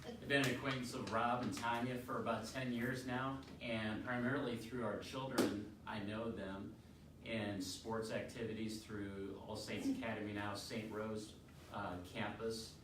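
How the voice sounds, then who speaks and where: A middle-aged man giving an unscripted testimonial, halting and rambling a little. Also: lowish voice, level tone. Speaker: youth soccer coach giving a testimonial. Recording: echoey room, distant mic, clear noise.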